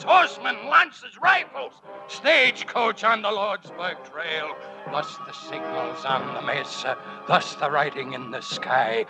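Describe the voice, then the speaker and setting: A proud Apache narrator from a 1940s radio play. He declaims slowly and solemnly, with a gravelly edge, like a chant of war. Music plays clearly underneath on the old recording. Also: on the high side, rough recording. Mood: rousing, fierce.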